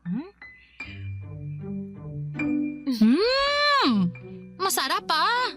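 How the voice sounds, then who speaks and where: A fond old mother with a bright, forward voice and a Filipino accent. She exclaims in motherly radio drama fashion, over faint music. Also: extremely high pitch, huge pitch swings, very slow, full character voice. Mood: pleased, teasing. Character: warm-hearted, proud elderly adoptive mother. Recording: music under the dialogue.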